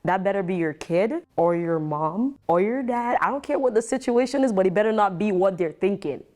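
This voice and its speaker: A woman's voice: mid-range voice, animated, brisk, darkish tone. A woman from Nigeria, speaking bluntly and emphatically in a casual group discussion. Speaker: panel guest.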